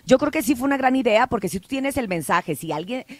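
Female radio host, chatty and thoughtful, slightly high voice, dry mic. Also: expressive, a little fast, no echo. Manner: chatty, reflective, laughs often. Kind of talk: casual radio talk. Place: radio studio.

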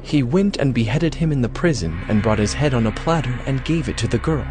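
A man narrating dramatized scripture as a scripted story, in a measured, solemn manner. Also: mid-range voice, expressive, music in the background.

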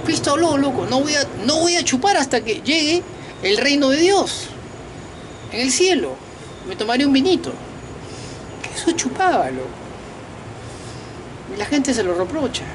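A man in his fifties from Peru, talking straight to the camera outdoors at night in a casual, slangy, animated monologue. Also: very high-pitched, normal speed, pauses often, noisy background. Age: 50s. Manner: animated, talks to viewers directly with slangy asides. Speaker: vlogger and self-declared political candidate. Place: outdoors at night on a balcony over a lit city.

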